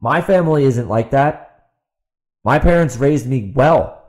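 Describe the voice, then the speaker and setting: A man with a mid-pitched voice delivers an unscripted solo podcast rant. He sounds exasperated and sarcastic, repeating himself for emphasis and firing rhetorical questions at someone who is not there. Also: a little slow, darkish tone. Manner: rhetorical questions put to an absent opponent. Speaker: podcast host and author. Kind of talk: ranting opinion monologue, off the cuff.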